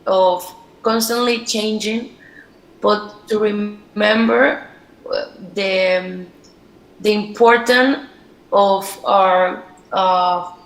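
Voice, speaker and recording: A woman from Panama with a Spanish accent, giving a halting online talk as a storyteller. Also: mid-range voice, fairly bright tone, echoey room, low-quality recording. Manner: slow, halting, many fillers. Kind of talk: online presentation. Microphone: video call.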